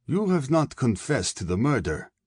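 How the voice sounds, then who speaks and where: A man voicing a plump, soft-spoken middle-aged German colonel with a German accent, courteous and probing. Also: medium pitch, big pitch swings, normal speed, breathy edge, dry recording, clean studio sound, voice pushed up.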